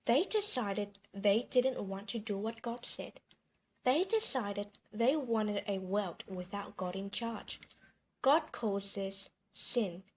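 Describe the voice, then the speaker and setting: A woman reading a children's bedtime story aloud in an expressive, emphatic storytelling manner. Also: medium pitch, slow, husky.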